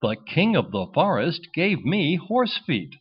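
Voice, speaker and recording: A man's voice: fairly high pitch, very animated, takes it slowly, breathy edge, highs cut off, pitched above the performer's own voice, full character voice. A man voicing a plucky, naive little duckling boy in a children's storybook, eager and pleading. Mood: excited.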